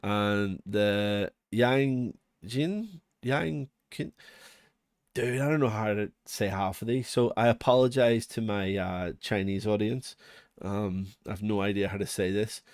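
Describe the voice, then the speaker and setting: A man's voice: on the low side, fairly even intonation, normal speed, clear, resonant tone, no room sound, clean studio sound. A man with a Northern Irish accent giving a casual, unscripted walkthrough as a tutorial host, chatty, rambling and self-deprecating. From Northern Ireland. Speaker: music production channel host.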